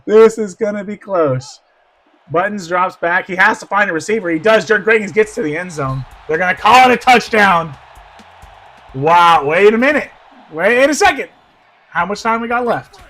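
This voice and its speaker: A man doing play-by-play football commentary, going hoarse, who swings from quiet asides to shouted excitement. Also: sits high, normal speed.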